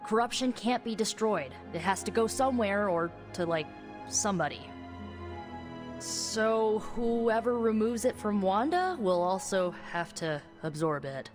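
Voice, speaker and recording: An actress playing a quick-witted, sardonic young sorceress in a video game, talking in a casual, halting, anxious way. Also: female voice, medium pitch, expressive, big-room sound, music in the background, close to an everyday voice. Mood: hesitant, confessing. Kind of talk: video game cutscene dialogue.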